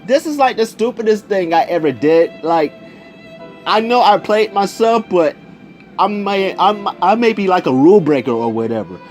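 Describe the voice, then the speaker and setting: Animated young man with a highish voice. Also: moderate pace, faint music behind. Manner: animated, slangy, re-enacts conversations. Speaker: video storyteller. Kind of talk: casual personal storytelling.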